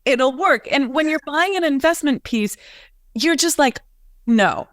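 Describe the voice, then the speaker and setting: A woman's voice: high-pitched, lively intonation, measured pace, fairly bright tone, no echo, studio quality. A woman hosting a conversational interview as a coach, chatty and full of fillers as she asks her questions. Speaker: host and coach.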